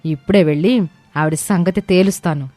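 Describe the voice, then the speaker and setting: A woman acts out a haughty young mother in a story drama. She scolds sharply and accuses imperiously, quick to threaten. The sound is close and dry, with music behind her. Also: fairly low pitch, mellow, dry recording, lightly acted. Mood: furious, accusing. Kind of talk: dramatised Telugu moral story acting.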